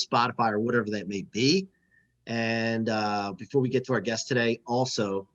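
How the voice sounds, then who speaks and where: A man hosting a podcast, talking in an energetic, hype-style way as he promotes and interviews. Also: mid-range voice, lo-fi sound. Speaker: podcast host.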